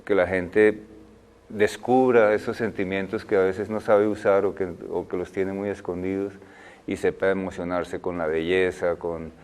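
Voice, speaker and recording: An older man speaks in a very low voice, weighing his words as an interview guest. He restarts phrases and leans on fillers while he thinks. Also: little pitch movement, a little mellow. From Colombia. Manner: thoughtful, halting, many fillers and restarts. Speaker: guest, film director. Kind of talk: interview answers.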